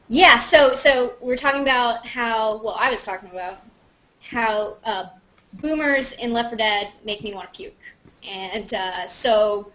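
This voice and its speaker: Lofi webcam sound, girl w highish voice rambling casually on a livestream q&a, kinda self-mocking. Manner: self-mocking, giggly, digressive, thinking aloud. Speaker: actress and vlogger hosting a live Q&A. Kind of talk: casual rambling chat, answering questions on a livestream. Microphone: video call on a computer. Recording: dull lo-fi webcam audio.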